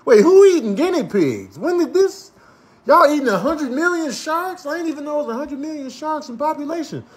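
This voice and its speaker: Animated high-pitched man doing comic voiceover. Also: a little fast. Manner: slangy, exclamatory trash talk. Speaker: voiceover comedian. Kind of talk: comic animal-video voiceover, improvised commentary.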